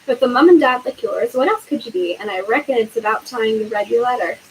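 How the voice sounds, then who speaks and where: A woman voicing a big, gruff but kindly male giant groundskeeper with a homely dialect, read aloud as a storybook. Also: a little high, animated, a little fast, a little mellow, a little hiss or hum, poor audio. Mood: blustering.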